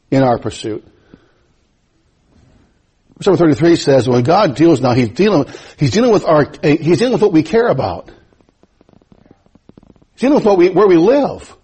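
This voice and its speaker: Husky high-voiced preacher with German roots. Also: male voice, dark tone. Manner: rises to high emphatic preaching, chuckles between phrases. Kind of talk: sermon.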